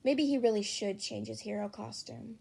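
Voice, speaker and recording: Woman narrating a story, mellow higher voice, scripted audiobook read. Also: normal speed, vocal fry, husky. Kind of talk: scripted fan fiction narration, story reading.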